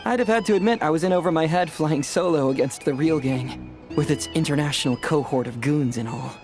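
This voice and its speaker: A man's voice: on the high side, fairly quick, over music, lightly acted. An actor playing a cheerful young male detective in a fantasy game, chatty, theatrical and courteous. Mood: curious, courteous. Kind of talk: animated game voice acting.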